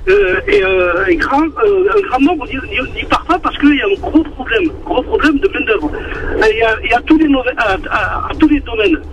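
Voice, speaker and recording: A man with a southern French accent calling into a radio show, speaking earnestly with many halting restarts over a muffled phone line. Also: very high-pitched, lively intonation, noisy background. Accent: southern French, Marseille-like. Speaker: caller. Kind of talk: phone-in opinion on air. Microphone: telephone.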